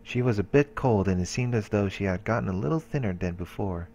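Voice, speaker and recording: Deep-voiced man reading game text. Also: a little fast. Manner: steady reading with casual asides. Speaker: let's play host.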